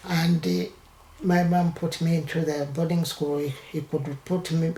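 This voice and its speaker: Older Ugandan woman, low gravelly voice, hesitant, slow, recorded in an echoey room; oral history interviewee. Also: warm tone. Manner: hesitant, halting, with many restarts.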